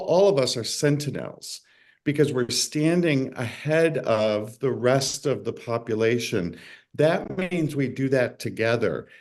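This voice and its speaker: A man at a middling pitch speaks on an online panel. He talks earnestly and with enthusiasm, encouraging the others on the panel. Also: a little mellow.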